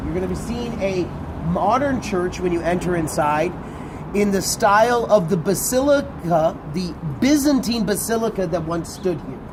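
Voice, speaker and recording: Booming-voiced male tour guide outdoors. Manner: animated, conversational explaining to a group. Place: outdoors at a garden site. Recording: outdoor street noise.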